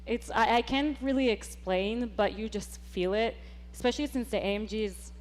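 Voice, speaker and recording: A woman's voice: fairly high pitch, normal speed, slight background noise. A young woman in her twenties, a volleyball coach, talking upbeat and enthusiastic in a casual interview.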